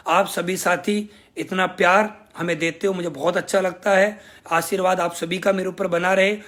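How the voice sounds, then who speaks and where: A man's voice: high voice, steady tone, normal speed, fairly bright tone. A young man leading a sales team, giving an emphatic motivational speech and repeating his thanks again and again. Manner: emphatic, grateful, repeats phrases for effect. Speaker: network marketing team leader.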